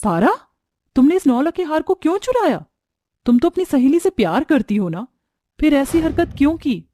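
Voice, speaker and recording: Cartoon role: kindly, generous young elder sister-in-law played by a woman, high-pitched, dry sound. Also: sing-song, normal speed, dark tone, dry recording. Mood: reproachful.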